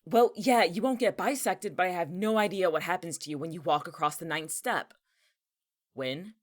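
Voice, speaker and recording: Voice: medium pitch, moderate pace, close to an everyday voice. An actor in a naturalistic audio drama playing an exasperated, protective young person with a casual, sardonic, blunt way of talking. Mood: alarmed, bossy. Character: exasperated, protective young person who knows the town's dangers.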